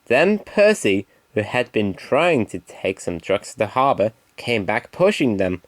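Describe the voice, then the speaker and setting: A man's voice: lowish voice, sing-song, measured pace. A man reading a scripted children's story as narrator.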